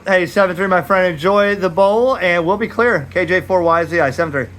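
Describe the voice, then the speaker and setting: An American man with a slightly high voice, speaking casually as a radio hobbyist. He is plain-spoken and informal, talking outdoors and using ham radio phrasing. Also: brisk, fairly bright tone, a little hiss or hum. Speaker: radio hobbyist making videos. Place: backyard by a metal shed, outdoors.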